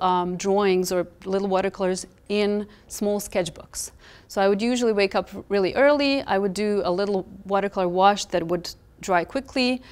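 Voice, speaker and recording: A woman in her thirties from Croatia, speaking English with a Croatian accent. She is a visual artist in an interview in a gallery, talking thoughtfully with many fillers and gentle pauses. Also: medium pitch, clear, resonant tone. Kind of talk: reflective interview talk about her art. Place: gallery, indoors, in front of artworks.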